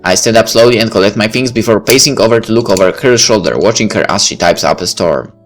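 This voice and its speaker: A man's voice: lowish voice, fast talker, faint music behind. A man reading a visual novel aloud as a let's play narrator, with casual asides.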